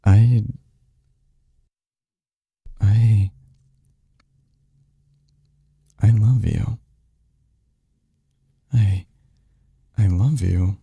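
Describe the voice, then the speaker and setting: Man acting a dark, husky lovestruck young man. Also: on the low side, steady tone, dry recording, lo-fi sound, heavily acted. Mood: earnest, pleading. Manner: halting, breathy, murmured confession with stammers. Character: lovestruck young male research assistant confessing to his best friend. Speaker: audio roleplay performer. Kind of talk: intimate ASMR romance roleplay.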